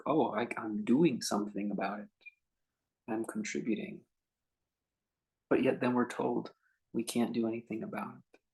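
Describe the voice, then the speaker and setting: A man leading a guided meditation as a teacher, speaking in a contemplative, unhurried way with long pauses between short phrases. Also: mid-range voice, vocal fry, low-quality recording.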